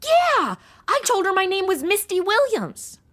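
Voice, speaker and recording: A woman's voice: extremely high pitch, big pitch swings, a little slow, a bit bright, exaggerated voice. A woman playing a sassy, irreverent, blunt young temp in a comedy audio drama, sarcastic and slangy. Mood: indignant, playful.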